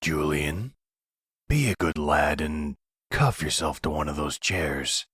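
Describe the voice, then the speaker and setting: A man acts out a delusional, possessive crime boss in middle age for an ASMR roleplay. His voice is extremely deep and heavily husky, recorded close and dry. He speaks slowly, with condescending tenderness that carries menace. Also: expressive, fairly bright tone, dry recording, heavily acted. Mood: calm menace. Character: possessive, delusional middle-aged male mafia boss and husband.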